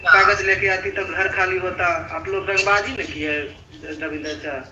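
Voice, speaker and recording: A man's voice: high-pitched, steady tone, normal speed. Young man, insistent and accusatory, sounds far from the mic on muffled, noisy playback. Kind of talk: argument on a recorded call. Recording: muffled, echoey, noisy distant call playback.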